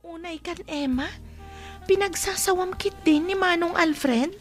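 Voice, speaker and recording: A woman acting a concerned young student who gently questions her friend in an Ilocano radio drama. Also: very high voice, a little slow, soft background music. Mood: questioning.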